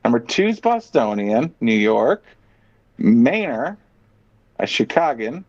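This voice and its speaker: A man's voice: medium pitch, big pitch swings, slow pace, a little mellow. A man chatting casually as a podcast host, reading out items and reacting with profane, sardonic opinions. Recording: dull, muffled lo-fi audio.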